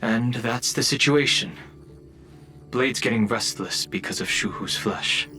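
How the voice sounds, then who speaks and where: A man voicing a steady, serious companion in an anime game, matter-of-fact, with music behind. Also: mid-range voice, measured pace.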